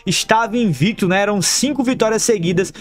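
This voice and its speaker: A man's voice: sits high, fairly quick. Upbeat Brazilian man giving betting tips. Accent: Brazilian Portuguese. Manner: upbeat, chummy, calls viewers "rapaziada" and "família". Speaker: football betting tipster. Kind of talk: scripted tips over screen recording. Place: voice-over for a screen recording.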